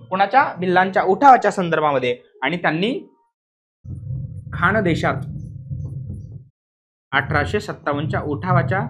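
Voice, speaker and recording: A man's voice: fairly high pitch, a bit bright, rough recording. Maharashtrian guy coaching for exams, repeats stuff with emphasis, keeps asking if you got it. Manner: repetitive, emphatic, frequently checking understanding with questions. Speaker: exam coaching teacher. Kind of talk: classroom explanation of exam questions.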